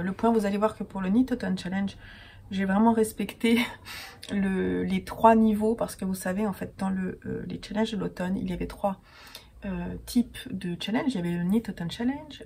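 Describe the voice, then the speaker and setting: Chatty French woman with a gravelly, stuffy voice. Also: medium pitch, dark tone. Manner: chatty, many fillers and restarts. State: stuffy from a cold. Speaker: podcaster and yarn dyer. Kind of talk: casual chat to camera. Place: at home.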